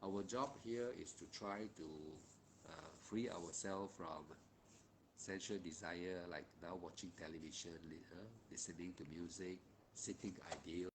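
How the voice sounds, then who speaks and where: A man guides a meditation session over a live stream. He talks haltingly, repeating phrases and restarting often, with a faint ambient hum behind him. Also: deep voice, steady tone. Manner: halting, repetitive, with many fillers and restarts. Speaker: meditation teacher.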